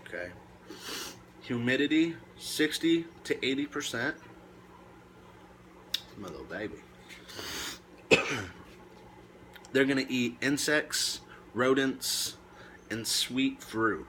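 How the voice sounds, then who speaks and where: A man presenting reptile care tips in a casual, laid-back way with short emphatic phrases, now and then coughing or clearing his throat. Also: medium pitch, expressive, slow, pauses often, a bit bright, a little hiss or hum, low-quality recording. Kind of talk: casual informal talk to camera.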